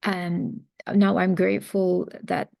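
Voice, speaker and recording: A woman of about forty speaks as a parenting coach in a very dry, close-sounding recording. Her voice sits a little low with plenty of vocal fry. She is earnest and reflective, halting in places as she talks personally to her listeners. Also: level tone, a little mellow, dry recording. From mountains of Lebanon. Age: around 40. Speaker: parenting coach, series host. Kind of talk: personal talk introducing a series.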